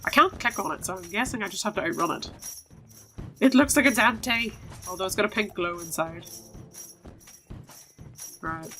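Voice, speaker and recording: A woman's voice: mid-range voice, animated, moderate pace, long pauses, bright, forceful tone. A woman giving casual, playful gameplay commentary, often chatting to the characters on screen as she plays. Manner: playful, talks to game characters. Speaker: video game streamer. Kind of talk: casual gameplay commentary and reading out game dialogue. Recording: music behind the voice. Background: game music.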